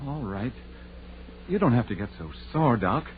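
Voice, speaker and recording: A man's voice: medium pitch, expressive, normal speed, lightly acted. A man playing a fearful, superstitious rural townsman with a folksy, nervous way of talking, in 1930s radio drama style. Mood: uneasy, reluctant. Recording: muffled, noisy 1930s radio recording.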